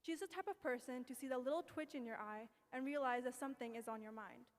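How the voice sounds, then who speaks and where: A young woman reading a steady, affectionate tribute speech about a school friend. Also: sits high, fast talker. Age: young adult. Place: event hall. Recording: long hall reverb.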